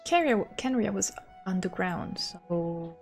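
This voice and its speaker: A woman's voice: lowish voice, lively intonation, slow, gravelly, faint music behind. A woman livestreamer giving casual commentary on a game, rambling informally as she thinks aloud. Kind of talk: casual commentary over gameplay.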